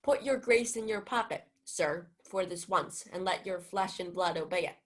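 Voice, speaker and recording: A woman's voice: fairly low pitch, normal speed, a bit bright, close to an everyday voice. A young woman playing a witty, wheedling household clown in a radio-style Shakespeare play. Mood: cheeky, wheedling. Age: young adult.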